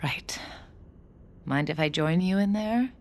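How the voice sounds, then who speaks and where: A woman's voice: lowish voice, expressive, slow, a little mellow. An actress playing a caring, teasing young woman in an audio drama, speaking with candid intimacy. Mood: teasing, casual. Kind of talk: audio drama acting and first-person narration.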